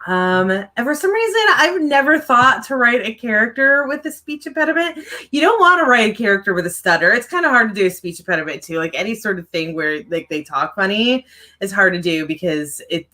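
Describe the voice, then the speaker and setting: A woman chats to her viewers in a relaxed, rambling way, with lots of fillers along the way. Her mild stutter slips in on repeated words. Her voice sounds bright and forceful. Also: medium pitch, a little fast. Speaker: writing livestream co-host and author. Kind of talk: casual chat to viewers.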